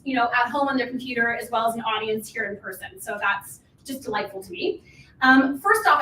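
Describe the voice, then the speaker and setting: A woman giving an enthusiastic, encouraging introductory speech as a theatre event presenter. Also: fairly bright tone. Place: indoor venue with in-person audience. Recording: echoey room, distant mic, faint rumbling noise.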